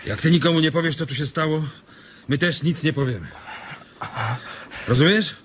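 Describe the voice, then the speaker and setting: A man playing a calm, commanding, coolly threatening ranch boss figure in a Polish radio drama. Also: on the high side, moderate pace, background noise, lightly acted. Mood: cool, firm.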